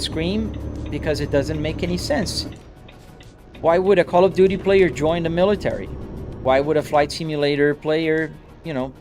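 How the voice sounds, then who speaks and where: A man from Brazil, his accent a blend of Brazilian and American, with a slightly high voice, casually answering a live chat from a home driving rig. He talks loosely and restarts his sentences as comments roll in. Soft music hums behind him. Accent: Brazilian Portuguese mixed with American English. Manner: chatty, answers viewer comments on the fly, frequent restarts. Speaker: live streamer.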